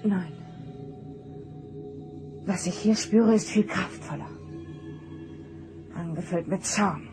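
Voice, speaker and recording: A woman playing a sensitive, earnest empathic ship's counselor in a radio drama, speaking intently and haltingly, with dramatic weight. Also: fairly low pitch, over music. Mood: uneasy.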